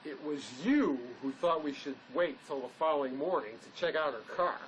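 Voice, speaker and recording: An actor playing a guarded, stubbornly protective fugitive in a drama, with a terse, questioning way of talking. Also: male voice, a little high, moderate pace, a little hiss or hum, natural voice, rough recording. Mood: defensive. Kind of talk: dramatic dialogue reading.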